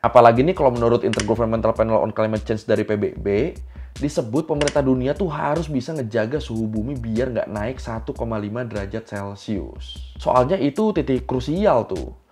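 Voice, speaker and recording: A young man with a Jakarta accent narrates an explainer in a medium-pitched voice. He keeps it chatty and slangy, often addressing the listener directly, with music playing softly behind him. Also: fairly quick. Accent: Jakarta Indonesian. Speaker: explainer video host.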